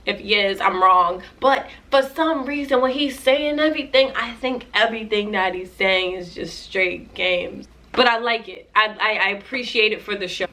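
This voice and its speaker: A woman's voice: mid-range voice, normal speed, hardly any pauses, bright, forceful tone. A young woman vlogger chatting casually in a playful, joking way about a show she watched. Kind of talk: casual reaction commentary.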